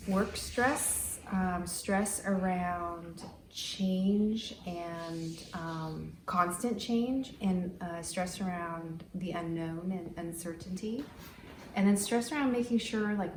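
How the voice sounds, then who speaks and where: Lower-voiced woman in an echoey room. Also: slight background noise. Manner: thoughtful, many ums and pauses. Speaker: interviewee. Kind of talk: casual interview answers.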